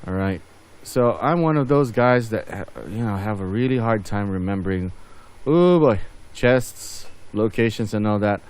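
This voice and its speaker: Low-voiced man casually narrating gameplay. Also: animated, normal speed, a little mellow, smooth. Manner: rambling, thinking aloud. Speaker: gaming video host.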